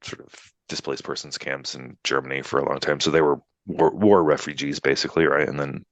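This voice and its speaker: American man in his fifties, very low voice, chatty and meandering, interview guest on a video call, dry close audio. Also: fairly even intonation, fairly quick, no room sound, lo-fi sound. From United States. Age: 50s. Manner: rambling, filler-heavy, frequent "you know" and "right?". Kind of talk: casual storytelling interview. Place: Poland, remote interview.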